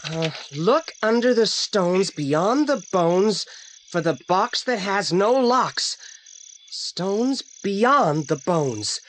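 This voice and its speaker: A man's voice: high-pitched, lively intonation, drawn-out words, a bit bright, a little hiss or hum, heavily acted. An actor playing a clever, bossy boy detective in an audio drama, precise and commanding. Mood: studious. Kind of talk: 1980s British audio drama.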